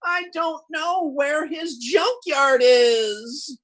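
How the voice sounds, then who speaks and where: An actor playing a folksy, blustering, scheming husband in old-time radio comedy, using slang and stammering when flustered. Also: male voice, extremely high pitch, very slow, a bit bright, heavily acted. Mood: flustered, panicky. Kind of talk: vintage radio comedy acting.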